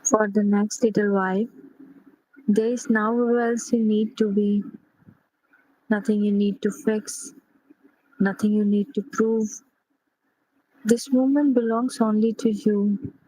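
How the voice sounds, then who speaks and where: A woman leading a guided sleep meditation in a gentle, unhurried manner, pausing between short phrases. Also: medium pitch, flat delivery, low-quality recording.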